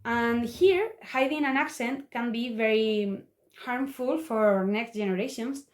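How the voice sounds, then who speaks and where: Slow-spoken young woman with Spanish accent. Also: on the high side. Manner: halting, earnest delivery in learner English. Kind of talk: prepared motivational talk. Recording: echoey room.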